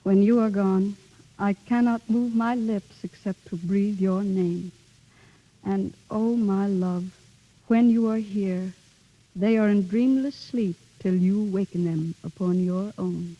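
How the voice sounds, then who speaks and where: A woman's voice: medium pitch, slow pace, mellow, dull audio. A woman playing an eager, headstrong young acting pupil in a theatrical, emphatic old-time drama style. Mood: romantic, declaiming.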